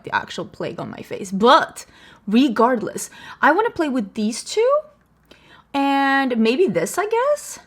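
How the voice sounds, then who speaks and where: A woman with a Swedish accent talks her way through a makeup tutorial, chatty and quick to laugh at herself. Her voice sits on the high side and swoops around a lot. She is a native Swedish speaker talking in English. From Sweden. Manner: chatty, self-deprecating, laughs often. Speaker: beauty vlogger. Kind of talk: casual makeup tutorial chat.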